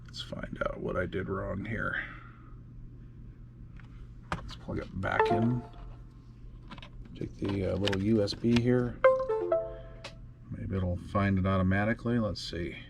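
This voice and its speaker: Man, low voice, casual, tinkering and muttering, fillers, makeshift home studio. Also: steady tone, slow pace, vocal fry, pauses often, loud music behind the voice. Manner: muttering to himself while fiddling with gear, many fillers. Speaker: radio hobby video host. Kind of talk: casual hands-on demonstration.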